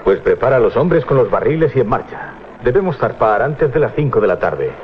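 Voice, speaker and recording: Man acting a bold, commanding sea captain, animated delivery. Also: medium pitch, a little fast, lightly acted. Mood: commanding, assured. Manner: authoritative, giving orders. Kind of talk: 1980s Spanish radio drama acting. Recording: dull, slightly noisy 1980s radio recording.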